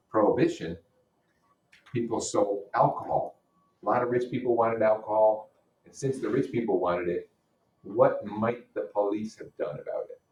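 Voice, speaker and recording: Male teacher, deep voice, reads literature aloud with explanatory asides; roomy sound, mic at a distance. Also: darkish tone, muffled recording. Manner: explanatory, puts rhetorical questions to listeners. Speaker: teacher reading a novel aloud. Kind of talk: read-aloud with explanatory comments. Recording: roomy echo, distant microphone.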